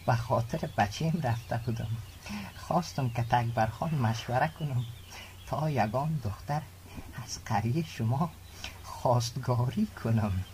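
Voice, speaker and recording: A man's voice: medium pitch, darkish tone, a little hiss or hum, lightly acted. Man acting a courteous Afghan village father. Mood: cordial. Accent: Afghan Dari. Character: courteous village father seeking a bride for son. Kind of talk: radio drama dialogue.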